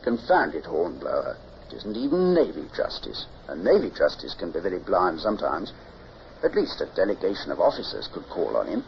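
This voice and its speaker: An actor playing an earnest, outspoken naval lieutenant, emphatic and blunt, in period radio drama style. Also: male voice, deep voice, big pitch swings, creaky, full character voice. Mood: indignant. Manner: emphatic, blunt, hesitant pauses. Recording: dull old radio recording with hiss.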